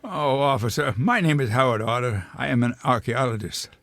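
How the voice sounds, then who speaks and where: A man's voice: medium pitch, expressive, moderate pace, fairly bright tone, no room sound. A man playing a nervous, well-mannered otter archaeologist in a comic radio drama, fussy, genteel and hesitant. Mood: nervous, flustered.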